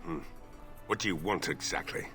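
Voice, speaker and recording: An actor playing a despairing, stubborn old village blacksmith in a video game, gruff, weary and drunk. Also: male voice, lowish voice, very animated, normal speed, bright, forceful tone, soft background music, heavily acted. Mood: bitter, resigned. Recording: faint background noise.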